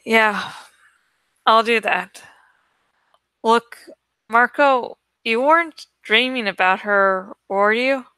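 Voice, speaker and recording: A woman voicing a sad, concerned widowed father in a story, gentle and subdued. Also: fairly high pitch, slow pace, pauses often, clean tone, no echo, poor audio.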